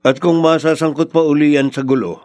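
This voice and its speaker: A man's voice: on the high side, fairly even intonation, fairly quick, darkish tone, natural voice. A man with a Filipino accent playing a stern village official giving warnings in a radio drama.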